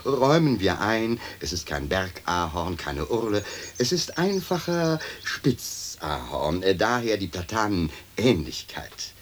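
An actor playing a courteous, pensive gentleman in a radio play, speaking formally and deliberately on a hissy old recording. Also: low-pitched, very animated. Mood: measured, insistent. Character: courteous, pensive gentleman arguing with His Lordship. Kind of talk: stereo radio play acting.

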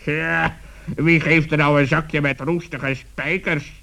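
A man's voice: a little high, flat delivery, takes it slowly, vocal fry, sharp tone, full character voice. A man voicing a blunt, sensible, grumbling raven in a children's radio play. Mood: disapproving.

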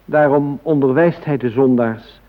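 A man acting a devout psalm reader in a radio play, reciting in a measured, reverent, declamatory way. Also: medium pitch, lightly acted. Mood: solemn, reverent. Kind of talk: solemn scripture recitation in radio drama. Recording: thin, phone-like old radio recording.

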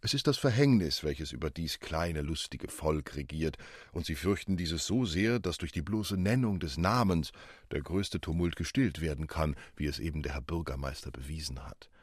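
A gallant little nutcracker prince from a storybook, acted out by a man, speaks in a very low, husky voice. His address is courtly and flowery, with a touch of mockery, and the sound is dry and close. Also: lively intonation, normal speed, dry recording, heavily acted. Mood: earnest explaining. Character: small gallant nutcracker prince, courteous, explaining, slightly mocking.

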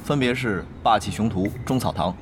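An actor playing an earnest, easily flustered guild leader in an online game, stammering and exasperated, in a Chinese radio drama. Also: male voice, lowish voice, moderate pace, noisy background, close to an everyday voice. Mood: flustered, defensive.

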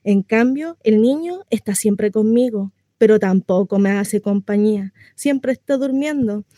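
Woman acting a chatty, naive housewife, mellow and close. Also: mid-range voice, a little slow, no echo, lightly acted. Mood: chatty, boastful. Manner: gossipy, rambling, confiding, coyly hesitant. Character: chatty lonely housewife, naive, insistently cheerful about her confinement. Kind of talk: theatrical monologue read for radio.

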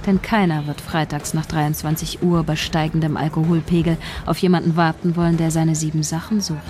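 Actress as calculating female writer, lowish voice, measured literary narration, detached, music behind. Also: little pitch movement, darkish tone, close to an everyday voice. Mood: detached, watchful. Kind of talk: radio drama first-person narration.

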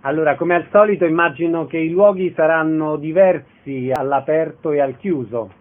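A man's voice: a little high, normal speed, phone-quality sound. A man hosting a radio programme, announcing events and putting questions to guests. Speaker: radio host, interviewer.

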